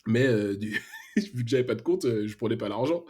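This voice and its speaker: A man's voice: mid-range voice, lively intonation, moderate pace, darkish tone, slightly rough. A young man from Martinique with an Antillean accent, telling his story casually in a podcast interview, with lots of hesitations and slang. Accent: Antillean French. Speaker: podcast guest, freelance web developer.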